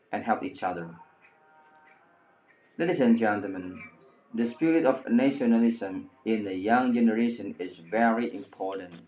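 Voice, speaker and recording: A man with an Indonesian accent delivers a formal prepared speech in a deliberate, halting, oratorical way. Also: fairly low pitch, darkish tone, highs cut off. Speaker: speech presenter.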